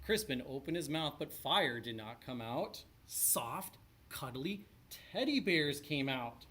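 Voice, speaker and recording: A man narrating a children's storybook in an expressive read-aloud style. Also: fairly high pitch, slow. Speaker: storybook reader.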